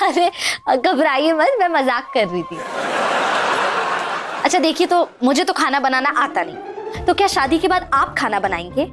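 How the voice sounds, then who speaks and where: A woman's voice: sits high, very animated, music in the background. An actress playing a cheeky, outspoken young woman who mocks her prospective groom in a TV sitcom. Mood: teasing. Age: young adult. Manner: mocking, playful, quick retorts. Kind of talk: TV sitcom acting. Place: indoor studio set of a home.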